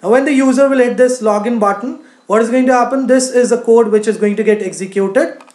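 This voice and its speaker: A woman's voice: on the high side, brisk, a little mellow. A woman with an Indian accent narrating a screencast tutorial as a coding instructor, explaining step by step with frequent verbal fillers. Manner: explanatory, frequent "you know". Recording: roomy, echoing voice-over.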